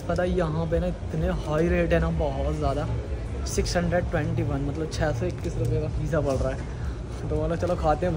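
Excited young man vlogging through an airport. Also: fairly high pitch, background noise. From India. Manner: chatty, addresses viewers as guys, mixes English words. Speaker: travel vlogger. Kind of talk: excited handheld travel vlog. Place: airport terminal and plane cabin, indoors.

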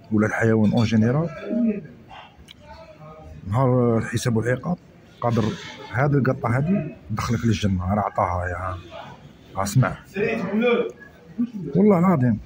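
A man's voice: medium pitch, low-quality recording. Chatty Algerian man vlogging his meal. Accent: Algerian Arabic, Oran. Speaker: food vlogger. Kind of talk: casual chat while eating. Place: outdoor restaurant terrace. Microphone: phone camera held over the plate.

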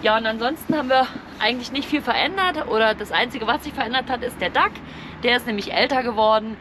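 A woman talks informally as a camping vlogger. She explains things in a chatty, everyday way, with clear outdoor and vehicle noise around her voice. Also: on the high side, fast. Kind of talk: casual vlog narration.

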